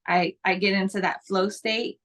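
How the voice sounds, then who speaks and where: A woman's voice: mid-range voice, steady tone, normal speed, fairly bright tone. A woman co-hosting a podcast, talking casually and earnestly, with frequent restarts. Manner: earnest, spiritual talk, restarts. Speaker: podcast co-host.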